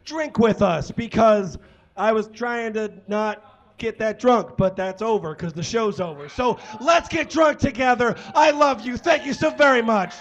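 A man's voice: extremely high pitch, measured pace, fairly bright tone, highs cut off. A middle-aged man hosting a live comedy podcast show, addressing the room casually with swearing, exuberant, on a handheld stage microphone. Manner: exuberant, often raising his voice. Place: small indoor stage in a bar.

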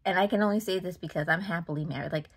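A woman in her forties speaks in a fairly low voice with a Boston accent. She rants casually to camera, frustrated and emphatic, repeating phrases to drive them home. It sounds like a phone held at arm's length indoors. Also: very fast, slightly rough. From Massachusetts, United States. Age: 40s. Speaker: vlogger. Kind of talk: casual opinion rant to camera.

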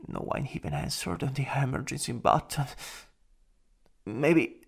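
An anxious, polite man played by a male ASMR actor in a boyfriend roleplay. His breathy delivery is slow and halting, with a gravelly creak. The pitch swings dramatically from line to line. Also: very animated, heavily acted. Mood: distressed, frustrated. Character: anxious, polite office worker, a man afraid of the dark.

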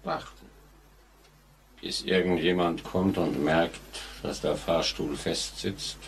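An actor playing a sinister, laconic elevator operator in a 1940s-style radio play, speaking tersely and with calm menace. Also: male voice, low voice, slow. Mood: flat, unconcerned. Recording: noisy vintage radio drama recording.

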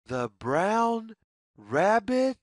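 Read-aloud storyteller for young children: very slow, deliberate phrasing, lively sing-song intonation, mid-pitched voice. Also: no room sound, clean studio sound.

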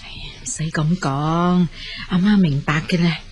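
Low-voiced, fretful old Cantonese mother. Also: fairly even intonation, measured pace. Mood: gentle, coaxing. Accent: Hong Kong Cantonese. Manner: motherly, exclaiming, sighing. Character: ailing, fretful but loving elderly mother. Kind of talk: radio drama acting.

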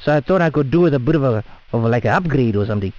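A man's voice: medium pitch, lively intonation, very fast, darkish tone. A man with a South African Indian English accent making a prank phone call in cheeky, rambling, slangy chat. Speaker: prank caller. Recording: dull lo-fi phone call audio.

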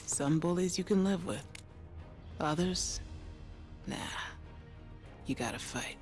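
Slow, grim katana warrior. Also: female voice, on the low side, lively intonation, music in the background. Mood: grim resolve. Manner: measured, deliberate, terse. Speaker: game operator voice line. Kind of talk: scripted video game character line.

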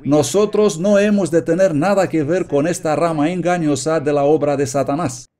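Earnest man preaching in a mellow voice. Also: fairly high pitch, moderate pace. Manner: earnest, emphatic, reading quotations aloud. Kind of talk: sermon read from notes, Spanish voice-over.

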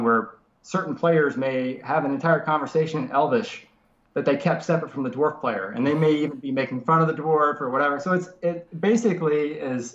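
A man in his thirties with a nasal voice from a cold, explaining software casually over a video call from his home study, with many fillers and restarts. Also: medium pitch. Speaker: software developer guest. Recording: roomy lo-fi video call audio.

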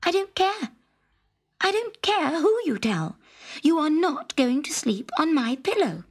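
A woman's voice: sits high, very animated, unhurried, voice pushed up, exaggerated voice. A woman voicing a spoiled, squeamish young princess in a storybook reading, snappish and exclaiming, petulant and tearful. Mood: indignant refusal. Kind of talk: storybook character acting.

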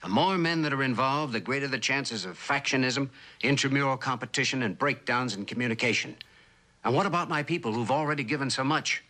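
An actor playing an earnest, stubborn male scientist in a mid-century television drama, speaking in a formal, measured, persuasive way. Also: medium pitch, pushed and bright, natural voice. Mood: indignant, pleading. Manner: formal, measured, deliberate speechmaking delivery.

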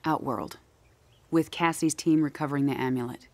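A woman's voice: deep voice, steady tone, moderate pace, a little mellow, a little hiss or hum, close to an everyday voice. A woman playing a stern, determined special forces general in a video game cutscene, blunt and businesslike. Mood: firm.

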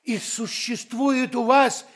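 A man's voice: very high-pitched, flat delivery, takes it slowly, exaggerated voice. An actor playing a haughty, curious giant king, pondering and exclaiming in a theatrical radio play. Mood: curious, astonished.

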